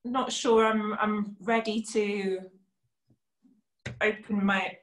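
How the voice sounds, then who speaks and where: A woman's voice: medium pitch, little pitch movement, takes it slowly, lightly acted. A woman acting a polite, unsure client in a comic scene, hesitant and apologetic, recorded in an echoey room.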